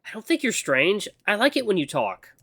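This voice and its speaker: Man voicing a flustered, high-pitched young apprentice. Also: husky, no echo, full character voice. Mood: gentle, earnest. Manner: stammering, expressive, quick to get exasperated. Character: young, flustered, sweary, sensitive apprentice runner.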